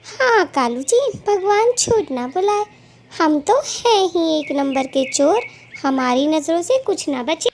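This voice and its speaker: A woman's voice: very high-pitched, expressive, slow pace, a little mellow, a little hiss or hum, full character voice. A woman voicing a gossipy, scheming female bird thief who dotes on her husband, in a dramatic, exclamatory children's cartoon manner. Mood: greedy, gleeful. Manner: dramatic, exclamatory, scolding and fawning. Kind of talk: Hindi children's cartoon dialogue.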